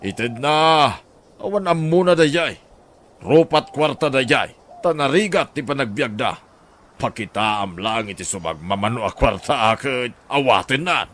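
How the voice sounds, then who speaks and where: A man acts as a scheming older husband with a medium-pitched voice and a northern Philippine accent. His talk is calculating, in radio drama style, with clear background noise. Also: lively intonation, unhurried, a bit bright. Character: scheming older husband, eager to buy land.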